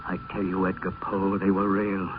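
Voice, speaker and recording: A man's voice: sits low, monotone, normal speed, lightly acted. An actor playing a morbid, haunted man sliding into madness in an old radio horror play, intense and melodramatic. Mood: haunted, insistent. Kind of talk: vintage radio horror acting. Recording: tinny, noisy old radio recording.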